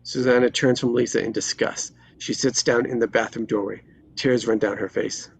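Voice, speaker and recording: A man reading screenplay stage directions as dramatic narration, in terse, deliberate phrases. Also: mid-range voice, fairly even intonation, creaky, breathy edge.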